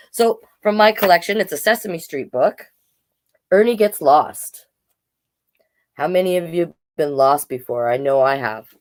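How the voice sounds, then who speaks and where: A woman's voice: very deep voice, dry recording. A middle-aged woman chatting casually as a storytime host, with playful, self-deprecating asides. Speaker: drag king host reading children's books. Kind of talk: casual livestream chat and storytime reading.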